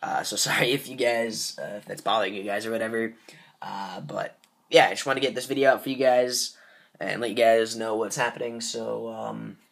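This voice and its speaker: A man's voice: lowish voice, steady tone, moderate pace, vocal fry, a bit bright. A teenage boy hosting a gaming channel, sick and stuffed up with a sore throat, rambling casually with lots of fillers while opening card packs. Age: teens. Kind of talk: casual pack-opening commentary.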